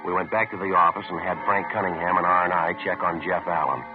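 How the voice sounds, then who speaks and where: An American actor playing a sober, businesslike police sergeant, deadpan and matter-of-fact, in a 1950s radio crime drama. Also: male voice, deep voice, fast, over music, lightly acted. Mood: sober, authoritative. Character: sober, businesslike male police sergeant narrating his cases. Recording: narrow, tinny vintage radio recording.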